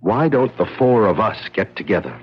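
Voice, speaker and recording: A muffled 1970s radio drama features an anguished, principled scientist. His delivery is earnest and emphatic, with halting moments and wide pitch swings. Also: very animated, breathy edge. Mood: earnest pleading. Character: anguished, principled male scientist resisting orders.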